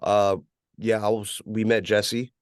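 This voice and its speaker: Man, West Coast American accent, lowish smooth voice, close dry sound, laid-back rambling interview chat. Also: level tone, takes it slowly, no echo. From California, USA. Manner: laid-back, rambling, frequent "you know". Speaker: interview guest, rapper and music producer.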